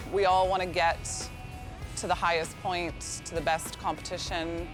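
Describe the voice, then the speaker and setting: A woman athlete from Canada speaking in an earnest interview soundbite. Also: on the low side.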